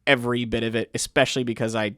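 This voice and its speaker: A man's voice: medium pitch, flat delivery, racing, bright, forceful tone, no room sound, clean studio sound. A man co-hosting a college radio music review, talking in a loose, rambling way with frequent restarts and casual swearing. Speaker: co-host of a music review show.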